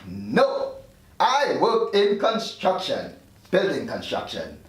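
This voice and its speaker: A man's voice: sits high, wildly swooping pitch, slow pace, a bit bright, exaggerated voice. A man playing an evasive afterlife doctor in his thirties, read at a script table read. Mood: matter-of-fact. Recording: very echoey room, distant mic.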